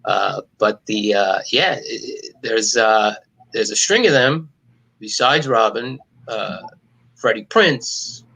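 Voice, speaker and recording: Middle-aged male stand-up comic from New York, deadpan and cynical, sharp forceful tone. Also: on the low side, takes it slowly, gravelly, breathy edge, rough recording. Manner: wisecracking, cynical, deadpan jokes. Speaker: stand-up comedian, guest. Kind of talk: casual video-call interview.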